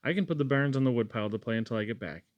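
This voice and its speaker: A man voicing a fussy, warm-hearted housewife in a storybook, with an emphatic, homely scolding manner. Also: medium pitch, rapid-fire, no echo, dull audio, close to an everyday voice. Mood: brisk, insistent.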